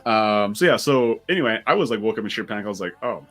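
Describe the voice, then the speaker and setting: A man's voice: on the low side, lively intonation, quick pace, fairly bright tone, faint music behind. A man chatting casually as a vlog host, telling a story in an informal way and repeating words as he works out his point.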